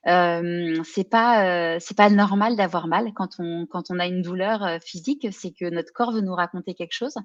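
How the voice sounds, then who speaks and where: A forty-year-old woman from Paris talks about herself as an interview guest, in an accent from France. She pauses often between short phrases, at a middling pitch. The sound is dry and slightly muffled. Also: no echo. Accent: French from France. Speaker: podcast guest, career coach and entrepreneur. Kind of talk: personal account in a conversational interview.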